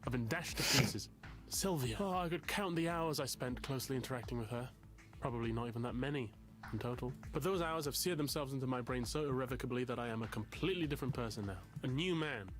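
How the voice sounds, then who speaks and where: An actor plays a wordy young man wallowing in theatrical misery. He mocks himself with literary flourish, in a middle-pitched voice over faint music, as in a story-driven game. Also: fast, natural voice. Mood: anguished, self-loathing. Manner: theatrical, wordy, self-mocking. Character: self-pitying, melodramatic literary young man in counseling. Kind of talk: visual novel game voice acting.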